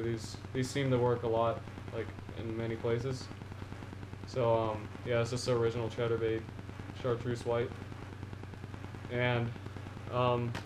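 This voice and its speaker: A teenage boy talking casually about his fishing baits from a couch, filling the gaps with ums and restarting his sentences. His voice stays level and flat, of middling pitch. The small room bounces the sound back, and a steady hiss sits underneath. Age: teens. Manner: rambling, many ums and restarts, enthusiastic about gear. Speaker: fishing vlogger. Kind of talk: casual gear haul video. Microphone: camera on the floor in front of him. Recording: echoey room with a steady noise floor.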